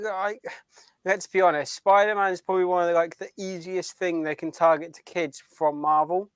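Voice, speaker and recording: A man's voice: high-pitched, fairly even intonation, moderate pace, smooth, no room sound, clean studio sound. A man co-hosting a pop-culture podcast in casual, chatty conversation. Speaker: podcast co-host.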